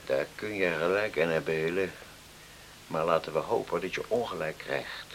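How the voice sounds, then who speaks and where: A man's voice: low voice, normal speed. A man playing a sombre, conciliatory visitor in a radio play. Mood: grave, hopeful. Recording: old radio recording with faint hiss.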